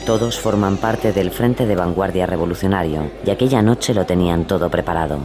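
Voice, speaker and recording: A man's voice: low voice, brisk, a crowd in the background. A man with a Spain accent narrating a scripted true-crime case. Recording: clear background ambience noise.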